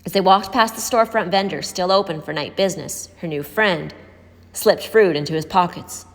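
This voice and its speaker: Low-pitched woman narrator in reverberant space. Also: moderate pace. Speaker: story reader. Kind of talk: scripted story narration. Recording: hall-like reverb.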